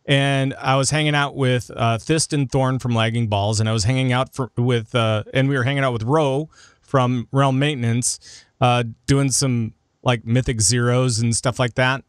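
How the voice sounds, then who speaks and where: A man's voice: medium pitch, steady tone, moderate pace, smooth, dry recording, clean studio sound. Casual joking man hosting a podcast. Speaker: podcast host. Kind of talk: casual gaming podcast chat.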